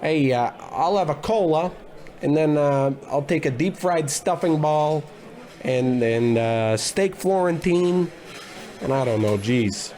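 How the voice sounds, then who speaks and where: A man acts out a bumbling private detective in a comic radio play. His voice sits fairly high, and he blusters and grumbles along with great self-importance, oblivious to everyone around him. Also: expressive, takes it slowly, dark tone. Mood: grumbling, hungry. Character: bumbling, blustery, gluttonous male private investigator. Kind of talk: scripted comedy in old radio detective style.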